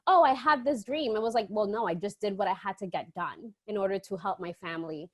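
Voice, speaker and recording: A woman's voice: mid-range voice, brisk. A woman from the Dominican Republic chatting as an interview guest, telling stories casually and quoting people as she goes. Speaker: podcast guest, entrepreneur.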